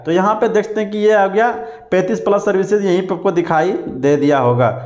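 A man's voice: sits high, a little fast, a little mellow, reverberant, lo-fi sound. A man narrating a phone screen-recording tutorial, listing app features one by one.

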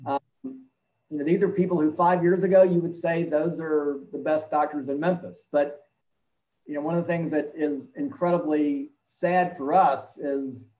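A man in his sixties giving measured, halting answers as an interview guest over a video call from an indoor room. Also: on the high side, fairly even intonation, warm tone, roomy sound, rough recording. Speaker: interview guest, health clinic leader and doctor.

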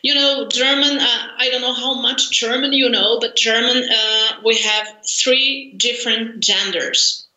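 A woman in her forties with a Brazilian Portuguese accent talks slowly on a video call, pausing often with fillers and laughing easily. Her voice is a little high and very bright. The webcam audio is roomy and echoey. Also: fairly even intonation. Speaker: interview guest, language learner. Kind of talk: conversational interview. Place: home room, indoors.

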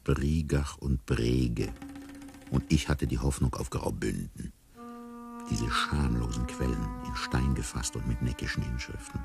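Very deep, hushed, bewildered man. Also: steady tone, unhurried, vocal fry, music in the background, exaggerated voice. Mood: wry unease. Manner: hushed, musing, often near whispering. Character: bewildered amnesiac man musing inwardly, wryly detached. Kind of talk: radio play inner monologue.

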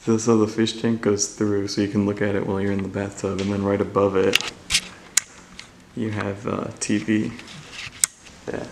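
A man's voice: on the low side, little pitch movement, moderate pace, creaky, pauses often. A young man filming an urban exploration vlog, chatting as he guesses what each bare room was, sometimes dropping his voice when nervous. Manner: chatty, guessing aloud at rooms, drops to hushed tones when nervous. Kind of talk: casual exploring commentary. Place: empty abandoned house, hard bare rooms. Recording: echoey empty rooms, loud noise.

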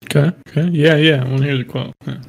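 A man's voice: a little high, fairly even intonation, fast, vocal fry, slightly rough. A man co-hosting a podcast, mostly giving short agreeing replies. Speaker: podcast co-host. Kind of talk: casual chat, brief backchannel replies.

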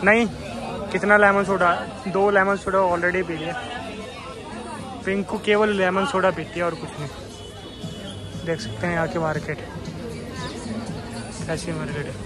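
A man's voice: high-pitched, steady tone, unhurried, long pauses, music in the background. A chatty man vlogging casually as he walks around an outdoor market, often repeating himself. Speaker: vlogger filming a market tour. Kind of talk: casual street vlog chat. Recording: loud busy street noise, crowd and traffic.

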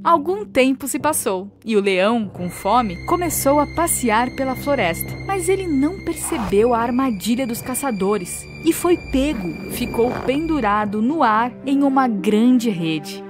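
A woman's voice: fairly high pitch, expressive, a little slow, hardly any pauses. A woman narrating a scripted children's story. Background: music.

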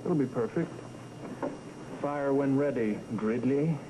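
A man's voice: medium pitch, slow, noisy background, natural voice. A man in his thirties playing a wisecracking romantic charmer in a TV soap, slangy, playful and persuasive. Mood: suave, assured. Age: 30s. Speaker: soap opera actor. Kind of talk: television soap opera dialogue. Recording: worn VHS tape, loud music behind.

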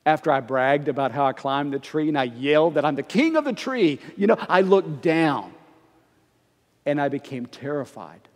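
A middle-aged man, a pastor, preaching a conversational, expressive sermon while standing before the altar. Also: fairly high pitch, normal speed. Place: church sanctuary before the altar. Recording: long reverb of a church sanctuary.

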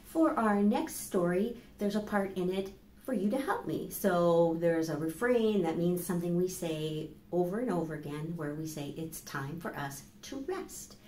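A middle-aged woman hosting a children's storytime, speaking slowly and deliberately to young listeners as she reads and asks questions. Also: fairly low pitch, expressive, a little mellow. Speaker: library storytime host. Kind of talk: children's storytime, reading picture books aloud. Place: small plain indoor room. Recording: echoey room with faint background noise.

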